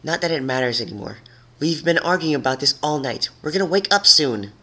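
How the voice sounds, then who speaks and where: Someone putting on a bitter, snappy girl pony from a cartoon, sharp edged, fed up. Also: mid-range voice, fairly quick, voice pushed up. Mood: frustrated. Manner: curt, irritated, monotone when bored. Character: grumpy, bitter, menacing female cartoon pony. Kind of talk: cartoon character voice in fan fiction reading.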